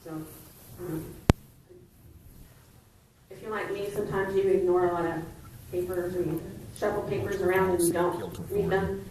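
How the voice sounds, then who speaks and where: A woman's voice: on the low side, level tone, measured pace, long pauses, darkish tone, recorded from a distance, slight background noise. Emphatic woman speaking in an echoey hall. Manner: emphatic, plainspoken. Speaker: meeting member. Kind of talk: addressing a meeting.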